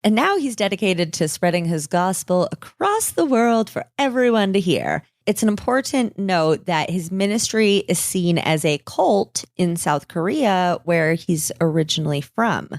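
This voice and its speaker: A woman's voice: fairly low pitch, animated, unhurried, hardly any pauses, dry recording, clean studio sound. A woman co-hosting a podcast, telling a story in a casual, irreverent, sarcastic way and swearing freely. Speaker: podcast co-host.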